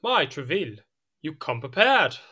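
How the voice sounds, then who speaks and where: A man's voice: on the high side, big pitch swings, normal speed, sharp tone, husky, full character voice. An actor playing a petulant, jealous French king in a period audio drama, speaking in an imperious, indignant, theatrical manner. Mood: brisk.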